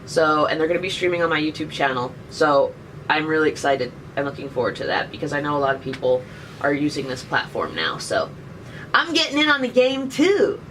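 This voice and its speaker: A woman's voice: sits low, sing-song, a little fast, a bit bright. Chatty woman streaming from afar. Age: 30s. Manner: chatty, jokey, answering viewer questions. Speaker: live streamer. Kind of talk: casual live stream chat. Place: indoors by a window. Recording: distant webcam mic, faint background noise.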